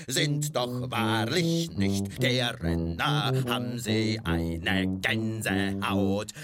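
A man performing a comic storytelling song for children. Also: fairly low pitch. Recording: loud music under the voice. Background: music.

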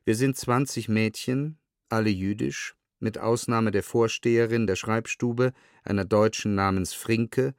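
A man narrates documentary material from a script. His voice is slightly low and his pace very slow and measured. The sound is close and dry, and the tone stays sober. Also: steady tone, no echo. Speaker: narrator reading historical documents.

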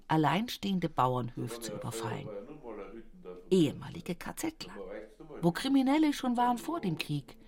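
An actress playing a weary, devout country woman in her sixties with an Upper Palatinate Bavarian accent, lamenting haltingly in a radio play monologue. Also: lowish voice. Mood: sombre recounting. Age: 60s. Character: weary, devout rural daughter nearing seventy, grieving, plain-spoken.

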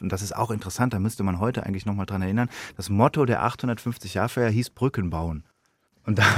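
A man with a fairly low voice talks as a guest in a radio interview. He is thoughtful and explanatory, often breaking off to rephrase. The studio sound is very dry. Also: dry recording. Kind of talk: reflective spoken interview.

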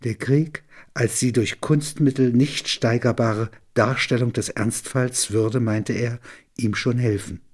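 A man's voice: medium pitch, steady tone, slow. A man narrating in a literary register, as a reader and commentator.

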